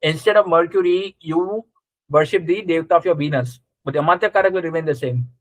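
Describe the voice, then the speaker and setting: A man's voice: a little high, fairly quick, no echo, poor audio. A man teaching a live interactive class, explaining conversationally and checking understanding with short questions. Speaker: astrology teacher.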